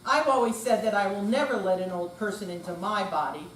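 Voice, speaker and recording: A woman's voice: medium pitch, brisk. An older woman giving a dramatic reading as a readers theater performer. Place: hall at a senior center. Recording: echoey room, far mic, clear mains hum.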